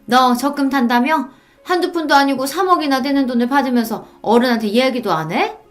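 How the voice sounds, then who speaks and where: A woman's voice: high-pitched, fairly quick, pitched above the performer's own voice, natural voice. A woman voicing a domineering, shameless older mother-in-law who harangues and demands, in a dramatized story reading. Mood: indignant.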